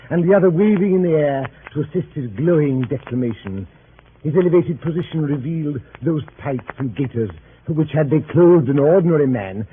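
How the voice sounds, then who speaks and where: A man's voice: mid-range voice, a little hiss or hum, lightly acted. An actor playing a genteel club member who narrates his travels with mock reverence, in 1930s radio drama style. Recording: tinny 1930s radio broadcast with faint music.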